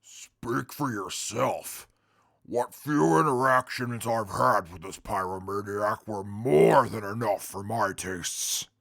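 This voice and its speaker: A man's voice: on the low side, slow pace, gravelly, a bit bright, no room sound, clean studio sound, heavily acted. A man playing a haughty, humorless warrior in a post-apocalyptic audio drama, speaking with stiff, formal diction. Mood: disdainful.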